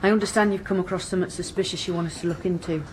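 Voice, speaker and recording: Over faint background noise, an actress plays a British woman detective inspector in a naturalistic radio drama. Her voice sits fairly low, and she is weary, frank and dryly brisk. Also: steady tone, close to an everyday voice, poor audio. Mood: businesslike. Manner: dry, frank, questioning.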